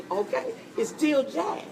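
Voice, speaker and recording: A woman hosting an interview in a coffee shop, animated and preachy, encouraging her guest. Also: sits high, drawn-out words, noisy background. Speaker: host. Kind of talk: interview chat. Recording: distant mic in busy cafe. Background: cafe crowd chatter.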